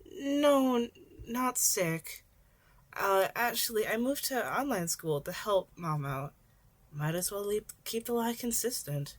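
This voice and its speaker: A woman's voice: medium pitch, lively intonation, takes it slowly, pitched above the performer's own voice. A woman voicing a guarded, timid teenage boy in a story reading, hesitant and evasive. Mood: evasive, nervous.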